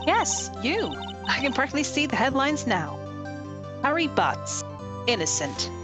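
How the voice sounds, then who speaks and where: A woman's voice: sits high, sing-song, unhurried, over music. A woman voicing a supportive, self-assured female boss in a video game, composed and gracious. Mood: teasing.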